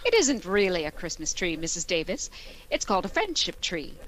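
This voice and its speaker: A woman's voice: fairly low pitch, very animated, brisk, a bit bright, low-quality recording. A woman playing a wry, patient English teacher with a dry, sardonic delivery in an old-time radio comedy. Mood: dry, pleasant. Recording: clear background noise, audience sounds.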